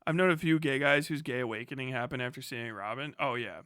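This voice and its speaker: A man in his thirties chatting casually as a livestream host, swearing freely and riffing in a sarcastic, animated way. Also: mid-range voice, quick pace, clean tone, dry recording, studio quality. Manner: profane, animated, sarcastic ranting.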